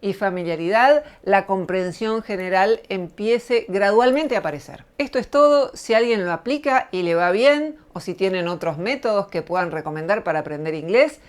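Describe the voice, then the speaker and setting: A middle-aged Argentine woman hosting her channel, giving a measured, thoughtful spoken essay. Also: medium pitch, animated. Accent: Argentine Spanish. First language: Spanish. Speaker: channel host, lecturer. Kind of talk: reflective spoken essay to camera.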